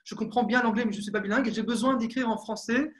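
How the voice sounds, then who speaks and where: A man's voice: very high voice, steady tone, quick pace, fairly bright tone. A young man from France, a film director, talking about his work on a video call with many fillers and restarts, using an earphone mic in a small room at home. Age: young adult.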